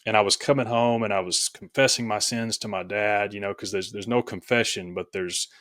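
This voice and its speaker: A man telling his personal story reflectively as an interview guest, in a halting, thoughtful way, often correcting himself. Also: fairly low pitch, steady tone, fairly bright tone, no room sound, clean studio sound. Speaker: podcast guest, author.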